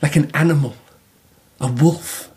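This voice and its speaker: An actor playing a serenely assured emperor of Japan in a radio drama, speaking in measured, deliberate phrases. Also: male voice, on the high side, a little mellow, close to an everyday voice. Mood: awed certainty.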